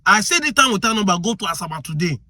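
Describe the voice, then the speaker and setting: A man's voice: sits high, big pitch swings, very fast, bright, forceful tone, dry recording, studio quality. A man with a Nigerian accent giving impassioned commentary, arguing hard and firing off rhetorical questions. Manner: argumentative, fires rhetorical questions.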